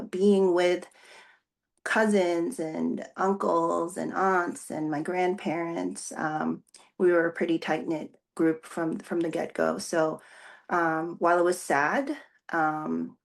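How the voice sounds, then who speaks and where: A Filipino-born woman whose first language is Tagalog talks through her story in an interview. Her voice sits low with a gravelly fry, and she pauses often with fillers and restarts as she thinks. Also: little pitch movement. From Philippines. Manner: thoughtful, many fillers and restarts. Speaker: executive pastor, guest. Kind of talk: casual interview answers.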